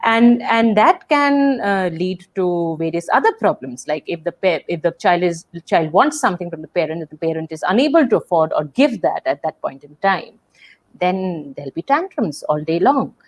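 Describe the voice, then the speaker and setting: A woman's voice: lowish voice, expressive, normal speed. A woman hosting a webinar on a video call, speaking thoughtfully, with many fillers and restarts. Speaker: webinar host. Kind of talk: casual webinar conversation.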